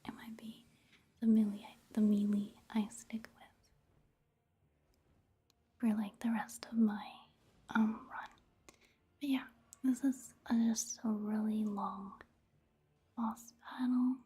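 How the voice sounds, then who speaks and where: Breathy, whispering woman in soft ASMR. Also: mid-range voice, little pitch movement. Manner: gentle, unhurried, casual. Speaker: video game streamer and ASMR creator. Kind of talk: soft-spoken ASMR game commentary.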